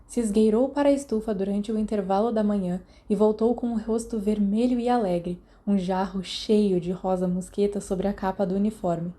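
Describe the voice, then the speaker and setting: A woman's voice: mid-range voice, steady tone, moderate pace, few pauses, dark tone. A woman with a Brazilian accent reading a story aloud as a podfic narrator. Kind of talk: scripted audiobook-style narration.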